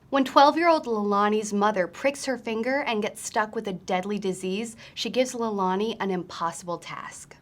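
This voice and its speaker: A woman's voice: on the high side, a bit bright. A woman hosting a book recommendation video, speaking in a scripted presenter style to viewers. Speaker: host of a book recommendation series.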